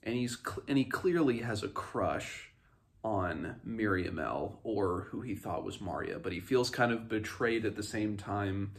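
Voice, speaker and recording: A man hosting a read-along, giving a casual book recap with rambling, halting, self-correcting commentary. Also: lowish voice, animated.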